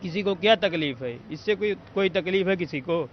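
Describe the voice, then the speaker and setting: A man's voice: high voice, animated, normal speed, a little hiss or hum. A man answering casually as a member of the public in a street interview. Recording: dull outdoor street recording.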